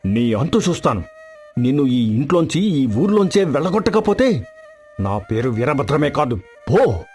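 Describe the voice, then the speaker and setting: An actor playing a greedy, arrogant, hot-tempered son-in-law in a dramatized folk tale, domineering and threatening. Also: male voice, medium pitch, big pitch swings, normal speed, darkish tone, faint music behind, close to an everyday voice. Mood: angry, threatening.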